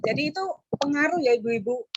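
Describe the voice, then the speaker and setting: A woman's voice: sits high, expressive, a little fast, no echo, lo-fi sound. An Indonesian woman with an East Javanese accent teaches public speaking to a group of women over a video call, going through numbered tips and addressing them as ladies; her throat is tired and strained. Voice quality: husky. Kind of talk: teaching an online class for women.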